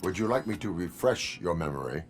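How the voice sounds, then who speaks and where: An actor playing a stoic cyborg police officer in a video game, speaking in clipped, formal, deadpan phrases. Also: male voice, sits low, a little fast. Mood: cold, commanding. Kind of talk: scripted game cutscene acting.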